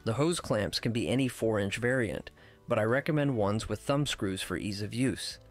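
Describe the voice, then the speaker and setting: A man giving scripted voice-over narration for a technical how-to video, in a measured, precise, instructional way. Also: on the low side, soft background music. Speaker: tutorial narrator.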